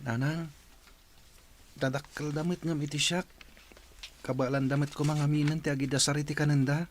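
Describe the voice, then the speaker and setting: A man's voice: fairly high pitch, flat delivery, normal speed, slight background noise, natural voice. A man playing a dutiful, hurt young student son in an audio drama, respectful and plaintive. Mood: pleading.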